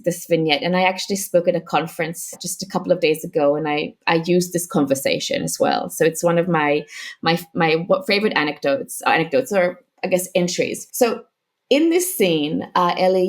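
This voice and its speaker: A woman sociologist from Israel, answering interview questions in a thoughtful, halting way, with frequent restarts. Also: on the low side. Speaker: sociologist guest. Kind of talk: academic interview.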